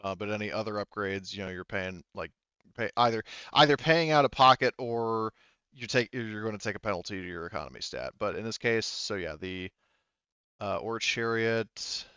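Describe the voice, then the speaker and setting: A man acting as game master in casual talk, thinking aloud haltingly and swearing bluntly. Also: on the low side, animated, bright, forceful tone, clean tone, no room sound, muffled recording. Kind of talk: running a tabletop roleplaying game, casual talk.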